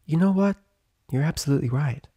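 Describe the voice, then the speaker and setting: A man's voice: on the high side, brisk, dark tone, slightly rough, no room sound, clean studio sound, pitched above the performer's own voice. A man voicing a bubbly, talkative young female cartoon pony in a story reading, with gushing run-on speech. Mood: solemn, anguished. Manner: gushing, rambling, endless run-on chatter. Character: hyperactive, chatty, bubbly young female pony party girl. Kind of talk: animated fan fiction character reading.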